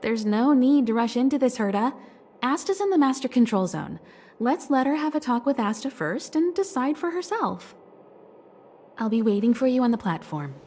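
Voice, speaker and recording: A woman's voice: on the high side, very animated, moderate pace, darkish tone. A woman playing a composed, patient and lightly wry female guide in an English video game dub. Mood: patient, gentle.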